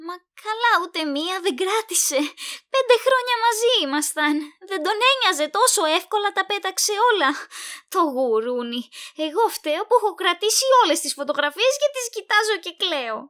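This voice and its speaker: A woman acting an anxious, wistful young woman in a Greek audio drama, expressive and conversational. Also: very high-pitched, heavily acted. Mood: hurt, indignant.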